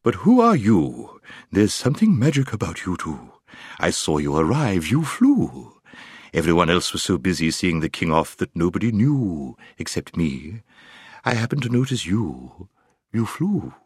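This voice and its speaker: Guy doing a big hooting owl from a storybook, polite but flustered, pitch swoops about. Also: wildly swooping pitch, slightly rough, no echo, clean studio sound, full character voice. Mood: gentle, puzzled. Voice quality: soft, hooting. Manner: repeats itself, breaks into drawn-out hoots. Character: large short-sighted owl, flustered, courteous and hooting.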